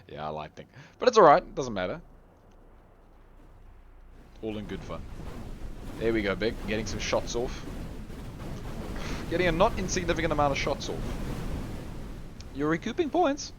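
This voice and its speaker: A man giving chatty live commentary on a game tournament, his voice going hoarse. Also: mid-range voice, wildly swooping pitch, a little slow, pauses often, noisy background. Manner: chatty, rambling, lots of restarts and laughs. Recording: dull lo-fi recording with faint music.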